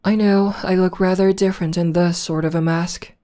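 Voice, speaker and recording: A woman's voice: lowish voice, steady tone, warm tone, lightly acted. A woman playing a theatrical female supervillain in an ASMR roleplay, arch and teasing, coaxing and tender with a beloved enemy. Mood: amused, teasing. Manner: arch, unhurried, coaxing, mock-grand.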